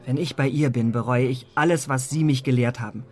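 An actor playing a naive, devoted young gentleman in a literary radio drama, earnest and rapturous in his delivery. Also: mid-range voice, a little fast, faint music behind, natural voice.

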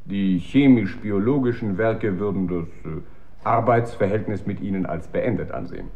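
A man's voice: fairly low pitch, moderate pace, a little mellow, muffled recording, lightly acted. An actor playing a stiff male works official in a radio drama, formal and bureaucratic. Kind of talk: German radio drama acting.

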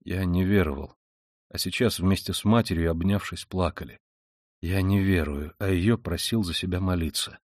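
Male reader as a feverish, proud young man: hushed and weary, dry close sound. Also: low voice, moderate pace, dry recording. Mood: weary, bitter. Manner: quiet and weary, then bursts into shouting rage. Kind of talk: audiobook reading of a classic novel.

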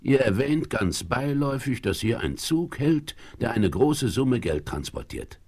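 An actor playing a shrewd, calm frontiersman in charge, deliberate and commanding, in a German audio drama. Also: medium pitch, slightly rough, full character voice. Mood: decisive. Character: shrewd, calm frontiersman leader, cautious and decisive.

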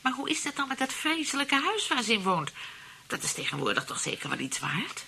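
Shrewd wife, lively swooping voice. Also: female voice, fairly high pitch, brisk, gravelly, slightly rough, full character voice. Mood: scheming. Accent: Dutch (Netherlands). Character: shrewd, impatient wife with an eye on inheritance. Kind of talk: radio play acting.

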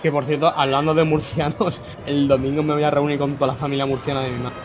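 A man's voice: on the high side, level tone, fast talker. A young man co-hosting a casual podcast, telling blunt anecdotes and swearing freely. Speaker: podcast co-host. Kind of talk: casual chat. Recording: music playing underneath.

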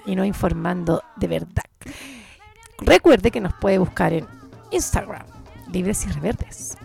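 A woman's voice: fairly low pitch, a little slow, creaky, dark tone, soft background music. A woman with a Chilean accent hosting community radio in an outspoken, informal, slangy chat style.

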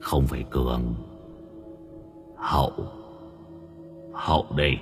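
A man voicing the grim ghost of a dead village man, speaking low and gruff. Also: steady tone, takes it slowly, big-room sound, music in the background. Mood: ominous.

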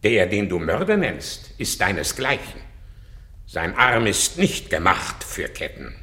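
A loyal old warrior in a classical radio drama. His lowish voice moves slowly and rises and falls widely, proud and impassioned as he declaims. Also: very animated, bright, forceful tone, full character voice. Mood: defiant.

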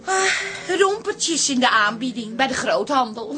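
An actress playing a chatty, dreamy, fussing wife in a Dutch radio drama, earnestly lost in fantasy. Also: female voice, sits high, lively intonation, measured pace, over music.

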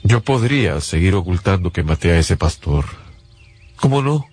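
An actor playing a haunted, desperate survivor of an invasion, telling his story in halting, anguished phrases in a radio drama. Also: low-pitched, big pitch swings, slightly rough, full character voice. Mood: bitter, defiant. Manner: halting, repeating words, anguished. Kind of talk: dramatic first-person radio drama narration. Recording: loud music under the voice.

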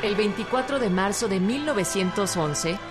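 Female radio drama narrator, mid-pitched, scripted documentary delivery over clear music. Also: expressive, moderate pace.

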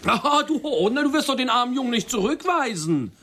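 A man's voice: very high voice, sing-song, fairly quick, sharp tone, exaggerated voice. An actor playing a bitter, possessive man in a radio drama, sarcastic and theatrical, moving between muttering and declaiming. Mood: mocking, scornful. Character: bitter, possessive man lecturing his old friends. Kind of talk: German radio drama acting.

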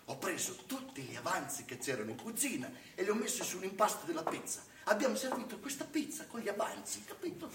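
A man playing a high-pitched, rambling sardonic chef. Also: sing-song, fast, hardly any pauses. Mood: excited, exclamatory. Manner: colloquial, digressive, exclamatory, punctuated with rhetorical check-ins. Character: rambling, sardonic Italian restaurant chef, irreverent and boastful. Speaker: stage actor in a satirical monologue. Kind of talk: comic theatre monologue, colloquial direct address. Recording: distant roomy live recording, faint noise.

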